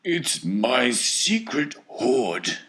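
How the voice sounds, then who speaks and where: Man doing an old grandfather, breathy and giddy. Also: medium pitch, very slow, pitched above the performer's own voice, heavily acted. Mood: excited whisper. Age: over 60. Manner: breathy, whispering, trembling with excitement.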